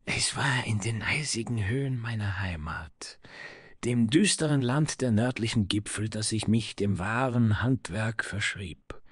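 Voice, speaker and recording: A man's voice: on the low side, a little slow, husky, no echo, clean studio sound. A man playing a proud, vengeful dwarf smith from the icy north, speaking in solemn, grandiloquent phrases in a fantasy audio story. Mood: proud, fervent.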